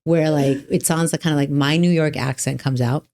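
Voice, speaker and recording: A woman with a low voice hosts a relaxed podcast chat. She rambles warmly through her points, restarting sentences and leaning on "like". It sounds like easy talk between friends. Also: a little fast. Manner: chatty, rambling, lots of "like" and restarts. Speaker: podcast host and trial attorney. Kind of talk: casual podcast conversation.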